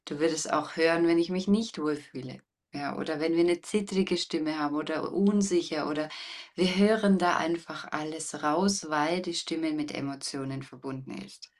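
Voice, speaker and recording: A woman with a deep, warm voice, a guest voice coach explaining things reflectively and deliberately in conversation. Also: distant mic. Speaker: interview guest, voice coach and former singer.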